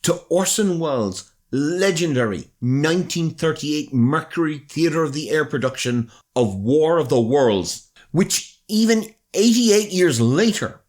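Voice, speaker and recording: A man with an Irish accent presenting scripted documentary narration in a measured, dramatic storytelling manner. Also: fairly high pitch. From Ireland.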